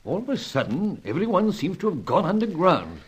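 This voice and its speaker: A man playing a courteous, anxious senior diplomat and spy chief in a radio adventure serial, speaking formally and hesitantly in an old-fashioned gentlemanly way. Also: high-pitched, wildly swooping pitch, normal speed, breathy edge, full character voice. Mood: troubled.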